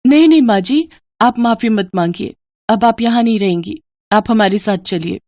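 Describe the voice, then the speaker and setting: A woman voicing a meek, gentle, caring young daughter-in-law in a cartoon moral story. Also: medium pitch, normal speed, a little hiss or hum, lightly acted. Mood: concerned.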